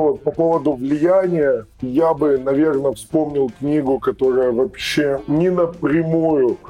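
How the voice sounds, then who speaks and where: A man's voice: mid-range voice, dark tone, low-quality recording. A man with a strained voice speaking as a podcast guest, giving hesitant, reflective answers that circle around the point. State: voice strained after losing it the day before. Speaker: podcast guest, professional trainer. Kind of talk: reflective interview talk.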